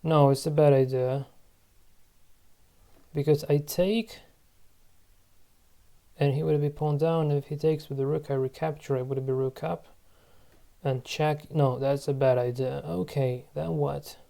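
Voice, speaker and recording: Man, 30s, channel host; dark, mellow tone; halting think-aloud game commentary. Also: fairly high pitch, steady tone, vocal fry. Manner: musing aloud to himself, halting. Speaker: channel host playing online board games.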